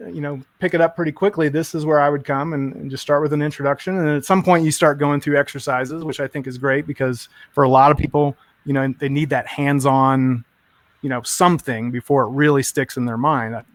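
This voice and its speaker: Guy raving about a learning site, kinda high voice, dry close mic, lots of um and you know. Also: steady tone, a little fast, no echo. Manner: enthusiastic, rambling, full of fillers. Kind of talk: casual tech chat.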